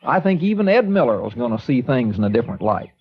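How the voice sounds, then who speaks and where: An actor playing a folksy small-town newspaper editor in a 1950s radio drama, with easygoing, drawling talk. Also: male voice, mid-range voice, big pitch swings, quick pace. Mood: warm. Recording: dull old radio transcription.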